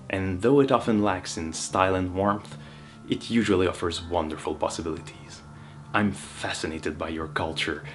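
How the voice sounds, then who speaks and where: A man's voice: low voice, natural voice. A man playing a grandiose steampunk baron with a French Canadian accent. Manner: grand, formal, declaiming. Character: theatrical male steampunk baron from another reality. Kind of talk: scripted in-character monologue.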